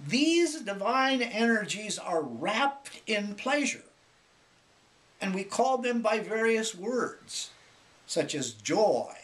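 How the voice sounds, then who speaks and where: Slow, deliberate male narrator with rising and falling pitch. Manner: measured, deliberate phrasing with frequent pauses. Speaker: author reading own spiritual book. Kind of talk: scripted spiritual audiobook narration.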